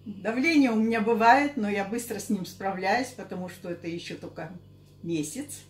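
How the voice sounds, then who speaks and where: A woman of sixty-seven giving halting, unscripted interview answers, often repeating herself. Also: medium pitch. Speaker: interviewee giving a product testimonial. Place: small curtained indoor office. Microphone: camera a couple of metres away. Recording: echoey room.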